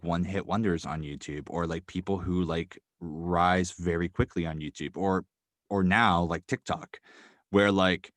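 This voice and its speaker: A young man, a guest writer, speaking casually and quickly with many fillers like "um" and "like.". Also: deep voice, a bit bright, no echo, clean studio sound. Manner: quick, filler-heavy, thoughtful. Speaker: guest, audio drama writer. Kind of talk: casual interview talk.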